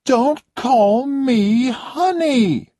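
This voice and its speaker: A reader voicing a spoiled, demanding woman who complains shrilly and loudly. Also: sits high, animated, very slow, no echo, clean studio sound, pitched above the performer's own voice, heavily acted. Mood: cross.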